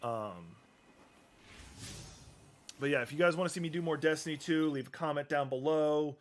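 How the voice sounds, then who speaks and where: A man streaming a game, talking casually through menus with lots of ums and restarts, his voice going hoarse. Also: on the high side, normal speed, a little hiss or hum. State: losing his voice. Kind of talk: casual live-stream commentary.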